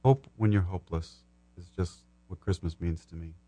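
A man speaking as a podcast guest, making earnest devotional remarks in a humble, halting way with restarts. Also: low-pitched, fairly even intonation, warm tone.

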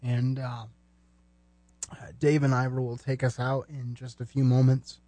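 Male podcast host, mellow, dry close sound, earnest, measured phrasing. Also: medium pitch, level tone, no room sound. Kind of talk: earnest conversational hosting.

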